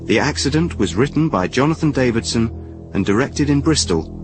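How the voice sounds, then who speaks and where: A man's voice: medium pitch, lively intonation, a little fast. A man reading scripted credits as a radio continuity announcer, in a formal announcing style. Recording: music clearly playing behind. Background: music.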